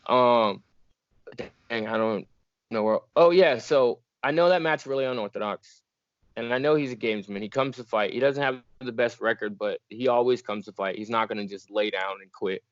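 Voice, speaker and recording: A man's voice: mid-range voice, normal speed, dry recording. A young man from Virginia, a fighter talking in a casual, easygoing way on a video call interview. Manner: relaxed, easygoing, laughs often. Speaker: bare-knuckle fighter, guest. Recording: muffled dry call audio.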